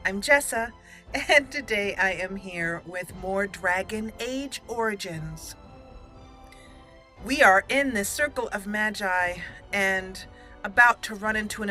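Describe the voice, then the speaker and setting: A woman hosts a roleplay game playthrough in a casual, playful way, thinking aloud as she goes. Her voice is bright and mid-pitched, with music playing behind it. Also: a little slow. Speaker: gaming channel host.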